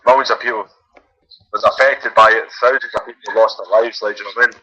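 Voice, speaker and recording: Bright-voiced Glaswegian man calling in. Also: on the low side, fairly even intonation, a little fast, slightly rough, no room sound, dull audio. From Glasgow. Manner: casual, speaks in loose run-on phrases. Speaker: caller. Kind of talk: casual phone-in chat.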